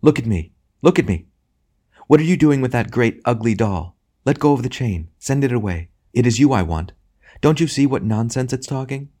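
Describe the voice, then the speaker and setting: A man voicing a joyful, loving lady spirit in an audiobook, gentle and earnest with a teasing touch. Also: medium pitch, lively intonation, a little fast, voice pushed up, close to an everyday voice. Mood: urgent. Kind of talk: audiobook character acting.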